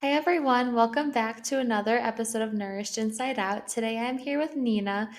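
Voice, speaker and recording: A woman's voice: on the high side, a little fast. A woman hosting an interview podcast, asking questions and responding in a conversational way. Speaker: podcast host.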